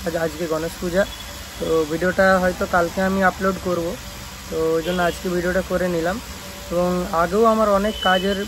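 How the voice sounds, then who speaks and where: A young man with a West Bengal accent chats casually to viewers in a vlog, explaining things with frequent check-in tags and restarted phrases. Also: high-pitched. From West Bengal, India. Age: young adult. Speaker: speaker repair shop vlogger. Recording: clearly noisy phone vlog recording.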